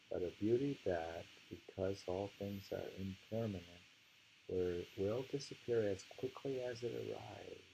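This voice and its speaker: A man reading poetry aloud and commenting on it informally, in a halting, musing way with pauses and hums. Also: low voice, vocal fry, dark tone, slight background noise, low-quality recording. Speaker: reader and discussion host.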